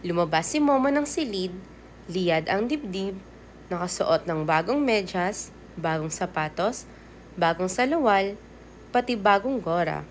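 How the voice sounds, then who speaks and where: A woman's voice: mid-range voice, animated, unhurried, long pauses. A woman teacher reading a children's storybook aloud, expressively and directly to young listeners. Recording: clear background noise.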